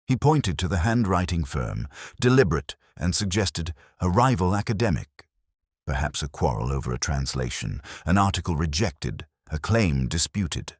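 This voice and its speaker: A man narrating a first-person detective story as a brooding police inspector, in a measured, literary, reflective manner. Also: very deep voice, breathy edge, no room sound, clean studio sound.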